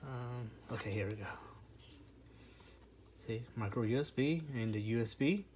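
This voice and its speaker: Product reviewer, mid-pitched voice, casual unboxing commentary, informal, thinking aloud, noisy recording with clicks. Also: unhurried, darkish tone.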